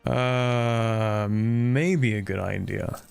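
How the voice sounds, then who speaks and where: A man chats casually over a strategy game with faint music behind him. His mid-pitched voice often drops into creaky fry, and he jokes in a laid-back, slangy way. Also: fairly even intonation, drawn-out words. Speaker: gaming video creator. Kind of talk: casual chat while playing a strategy game.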